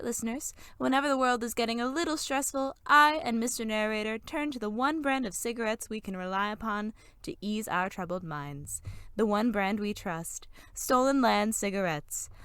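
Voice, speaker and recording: A woman's voice: medium pitch, fairly bright tone, clean tone, no echo, close to an everyday voice. A woman playing a polished, chipper sponsor hostess in a parody of an old radio ad, polite and salesy.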